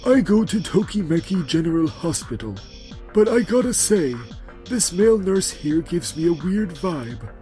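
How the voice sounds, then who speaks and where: A man plays a frank, particular VIP patient in a manga dub. His voice sits high for a man yet stays soft and mellow, measured and formal as he speaks his mind bluntly. Music runs faintly behind him. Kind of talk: animated romantic-comedy dub acting.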